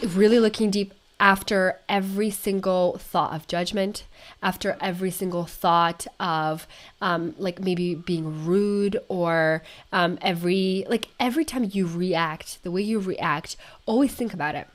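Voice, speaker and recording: A woman's voice: fairly low pitch, unhurried. A woman hosting an interview show, talking in a gushing, effusive, rambling way. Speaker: podcast host. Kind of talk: casual interview chat.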